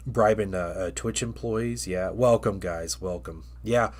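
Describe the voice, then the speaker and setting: A man's voice: fairly low pitch, a little slow. A man getting over a cold, chatting casually on a live stream while painting miniatures, rambling with many fillers and restarts.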